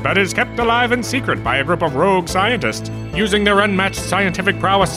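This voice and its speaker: Man acting as retro radio announcer, high voice, animated swoops, bombastic, pompous. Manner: dramatic, pompous, emphatic. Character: grandiose bombastic male announcer of a retro serial. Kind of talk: 1940s radio serial announcing. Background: music.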